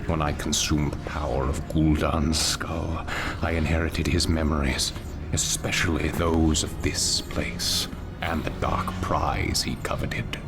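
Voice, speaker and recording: A sinister demon hunter speaks in an extremely deep, gravel-edged voice over loud music. He is a smug fantasy game villain, measured and taunting. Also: level tone. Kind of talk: dramatic video game voice acting.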